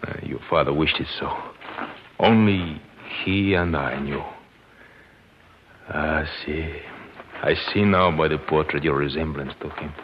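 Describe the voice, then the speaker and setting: An actor playing a loyal, anxious elderly Mexican servant with a Spanish accent and halting, deferential delivery in the style of 1950s radio drama. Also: male voice, extremely low pitch. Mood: earnest, revealing. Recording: noisy, muffled old 1950s radio recording.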